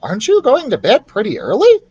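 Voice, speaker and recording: A man's voice: very high-pitched, wildly swooping pitch, a little fast, darkish tone, husky, muffled recording, voice pushed up, heavily acted. A man voicing a small, eager young boy mouse in a storybook, chirpy and concerned. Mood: eager, curious.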